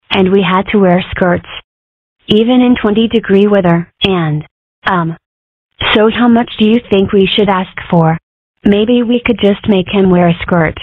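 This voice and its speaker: A woman's voice: medium pitch, dry recording, lightly acted. Dry, wry woman as cartoon fox. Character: wry young fox girl and her fox mother. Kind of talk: comic strip dub. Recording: muffled, narrow phone-like sound, close and dry.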